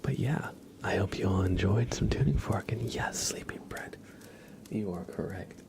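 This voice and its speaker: A man speaks in a hushed whisper that drops into a low, gravelly murmur. He hosts an ASMR livestream and talks gently and directly to his viewers, never hurrying. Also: moderate pace. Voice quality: breathy whisper mixed with low, creaky murmur.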